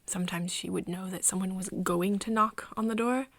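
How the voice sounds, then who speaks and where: A woman in an ASMR roleplay playing a nervous, caring friend, speaking softly and haltingly and often slipping into breathy whispers. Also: medium pitch, steady tone, dry recording. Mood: hesitant, reminiscing. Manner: hesitant, halting, with pauses and sighs. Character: nervous, caring young woman confiding in her vampire friend. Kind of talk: ASMR roleplay, intimate scripted acting. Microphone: close microphone, dry room.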